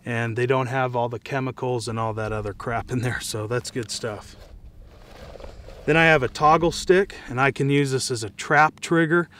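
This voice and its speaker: A man's voice: mid-range voice, moderate pace, a bit bright, a little hiss or hum. An American man casually demonstrating and reviewing gear to camera, speaking outdoors. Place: outdoors in dry scrubland.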